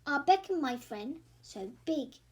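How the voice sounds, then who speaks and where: A woman's voice: high-pitched, animated, measured pace. A woman voicing a small, plucky, cunning mouse in a children's storybook, with a coaxing manner.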